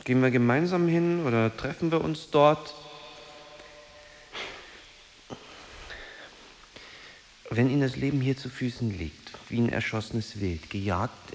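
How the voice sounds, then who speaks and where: A man playing a reserved, disillusioned solitary character in a restrained, measured radio drama style. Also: mid-range voice, slight background noise, natural voice. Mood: measured, attentive.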